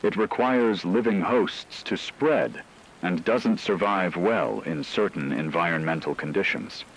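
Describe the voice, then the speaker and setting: Male actor as radio drama news anchor: very low pitch, measured and factual, grave, faintly hissy broadcast. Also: poor audio. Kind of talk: scripted emergency news report.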